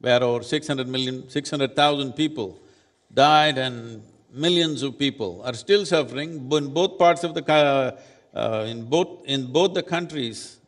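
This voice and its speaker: A man in his sixties, seated on stage at a summit, answering questions in a measured, deliberate and firm way. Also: medium pitch. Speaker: spiritual leader speaking as summit panelist. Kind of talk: onstage panel answer. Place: large conference hall stage. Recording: reverberant conference hall.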